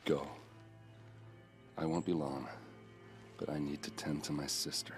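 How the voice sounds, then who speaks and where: An actor playing a grief-stricken, self-doubting soldier and younger brother in a game cutscene. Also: male voice, extremely low pitch, level tone, measured pace. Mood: subdued, determined. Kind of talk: dramatic game acting. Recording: music behind the dialogue.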